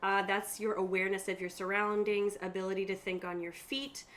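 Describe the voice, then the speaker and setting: A woman runs a tabletop game on a stream, walking players through the rules in a casual, chatty manner. Her voice sits at an ordinary pitch. There is some noise in the room around her. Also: steady tone, fairly quick, a bit bright. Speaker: game master. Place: room at a group gaming table. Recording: noticeable room noise behind the voice.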